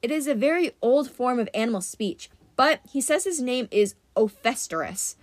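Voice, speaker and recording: An anxious, literal-minded male sidekick from a fantasy storybook, voiced by a woman. He explains earnestly and hesitantly in a high, lively voice, recorded very dry. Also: moderate pace, dry recording, natural voice.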